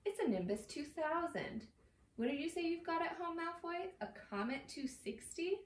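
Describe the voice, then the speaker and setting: A woman voicing a cheeky, excitable schoolboy wizard in a storybook reading, in an echoey room. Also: very high-pitched, moderate pace, pitched above the performer's own voice. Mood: boastful taunting. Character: envious, cheeky schoolboy wizard, sarcastic best friend. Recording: roomy echo, distant mic, faint noise.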